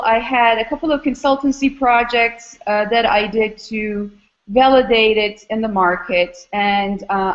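A middle-aged woman from Istanbul, Turkey, giving explanatory interview answers as a guest, in measured, academic phrasing. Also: mid-range voice, highs cut off. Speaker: interview guest, startup founder and former academic.

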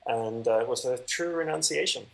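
A man speaking at a middle pitch, unhurried and measured, as he answers interview questions over a video call. His telling is calm and even. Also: creaky. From Malaysia. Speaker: podcast guest.

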